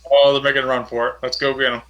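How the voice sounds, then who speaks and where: A male tabletop player talks casually in character. His pitch is middling and his tone is bright and sharp, with a forceful edge. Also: level tone, racing, dull audio. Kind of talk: in-character casual game chat.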